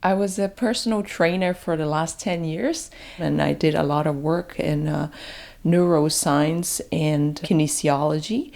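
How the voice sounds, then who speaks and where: A woman's voice: deep voice, unhurried, gravelly, pauses often, darkish tone. A woman from Malaysia giving a personal account in a documentary interview. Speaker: job seeker interviewee. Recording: music playing behind the voice.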